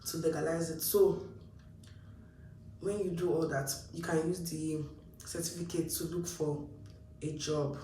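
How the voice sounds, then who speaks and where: A woman's voice: low voice, a little slow, pauses often, slight background noise. Nigerian woman giving chatty advice, roomy echo. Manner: chatty and animated, acts out her own past thoughts. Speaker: vlogger. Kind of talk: casual advice talk to camera. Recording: roomy echo, mic a bit distant.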